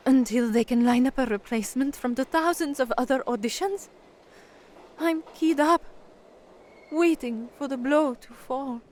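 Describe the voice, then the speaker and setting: A woman's voice: a little high, a little mellow, slight background noise. An actress playing an anxious young working-class woman and trainee newsreader in an audio drama. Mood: uneasy. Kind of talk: audio drama acting with first-person narration.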